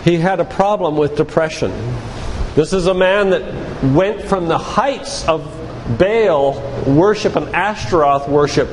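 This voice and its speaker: High-voiced older man preaching in echoey hall. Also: measured pace. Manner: didactic storyteller, addresses listeners directly. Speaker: physician giving a guest talk at a church. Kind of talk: sermon-like lecture retelling Bible stories. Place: large room, church. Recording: reverberant hall with clear background noise.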